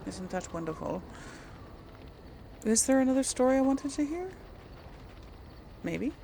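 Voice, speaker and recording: Female let's player, casual commentary, noticeable fry in the voice. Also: high-pitched, animated, measured pace, mellow, slight background noise. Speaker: gameplay streamer role-playing a character.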